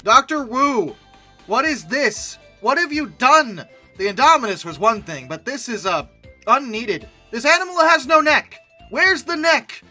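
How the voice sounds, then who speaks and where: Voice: sits high, expressive, unhurried, bright, forceful tone, faint music behind. A comedic online host giving sarcastic reaction commentary with theatrical mock outrage. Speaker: YouTube host reacting to images.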